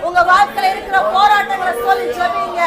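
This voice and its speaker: A woman with a very high voice preaches and leads prayer with fervent, repetitive urging. A congregation is clearly heard in the background. The recording comes from inside a large hall. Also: flat delivery, fast, over a noisy crowd. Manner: repetitive urgent exhortation. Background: congregation responding amen.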